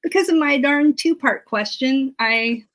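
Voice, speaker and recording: A woman's voice: sits high, a little slow, fairly bright tone. Woman historian thinking aloud. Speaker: public historian, interview guest. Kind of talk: informal interview answers about historical research.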